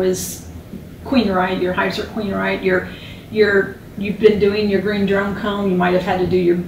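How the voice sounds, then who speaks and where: A chatty, animated woman in her fifties explains things with her hands moving, her voice caught by a far-off camera mic. The living room adds a noticeable echo, with a faint hum in the background. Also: mid-range voice. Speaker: beekeeping instructor. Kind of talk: casual conversation. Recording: echoey room, distant mic.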